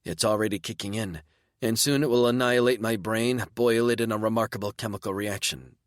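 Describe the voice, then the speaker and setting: A man voicing a gentle young woman who is dying, speaking softly and pleadingly. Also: lowish voice, fairly even intonation, a little fast, no room sound, clean studio sound, lightly acted. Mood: wistful.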